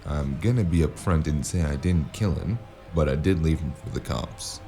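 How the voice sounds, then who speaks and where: A man playing a gruff, wary noir investigator in an audio drama, narrating and talking in a dry, hard-boiled, laconic way. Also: extremely low pitch, moderate pace, dark tone, soft background music.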